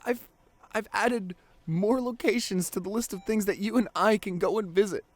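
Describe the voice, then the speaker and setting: A man playing a grieving, devoted boyfriend in an emotional ASMR audio roleplay, pleading haltingly through tears with his voice breaking. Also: high voice, no echo. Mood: pleading, tearful. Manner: halting, repeats phrases, voice breaking into sobs. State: crying. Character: grieving devoted boyfriend pleading at comatose partner's hospital bedside.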